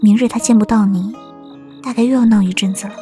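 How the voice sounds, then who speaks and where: A woman playing a gentle, caring young wife in a Chinese period audio drama. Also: mid-range voice, steady tone, fast, close to an everyday voice. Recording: music behind the voice.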